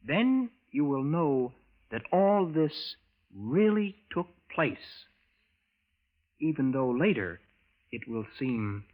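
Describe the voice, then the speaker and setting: John, formal time traveler, tinny radio. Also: male voice, fairly high pitch, sing-song, exaggerated voice. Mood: cryptic, solemn. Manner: measured, formal, avoids contractions. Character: John, cryptic, formal visitor from the future. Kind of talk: 1940s radio acting. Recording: tinny vintage radio broadcast with music.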